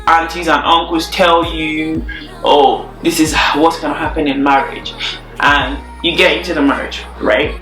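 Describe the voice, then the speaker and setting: A young woman talks in an easy, relaxed way, often repeating words to drive a point home. Her voice is bright and lively in tone. It is recorded in a roomy, echoey space with soft music underneath. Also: low-pitched. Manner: chatty, relaxed, laughs easily, repeats words for emphasis. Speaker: YouTuber. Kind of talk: casual Q&A vlog. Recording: echoey room, faint music.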